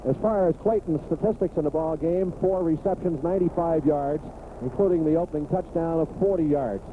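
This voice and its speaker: An American man calling football play-by-play on the radio in clipped phrases that build toward excited calls. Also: a little high, fairly quick. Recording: tinny, noisy 1980s AM radio broadcast.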